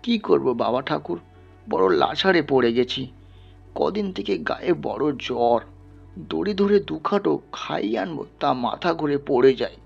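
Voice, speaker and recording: A humble, ailing peasant acted by a male reader pleads sorrowfully in an audio story. His voice is husky and creaky and sits fairly high. Music plays softly behind. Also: expressive, unhurried, lo-fi sound, heavily acted. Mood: weary, pleading. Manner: pleading, plaintive, deferential.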